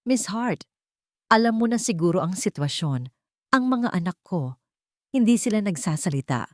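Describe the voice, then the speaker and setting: A woman's voice: mid-range voice, expressive, moderate pace, dry recording, studio quality, close to an everyday voice. A woman voicing a cold, tired, bitter billionaire father in an audiobook drama, commanding and without emotion. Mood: cold, weary.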